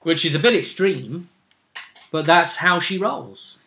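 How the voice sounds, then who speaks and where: A man's voice: a little high, animated, takes it slowly, sharp tone. A man casually recapping a role-play game as the session's host.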